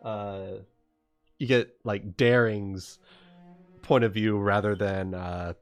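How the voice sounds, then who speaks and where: A man chatting casually as a livestream host, explaining things in a halting, stop-and-start way. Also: lowish voice, steady tone, clean tone, soft background music. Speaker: livestream host and game master.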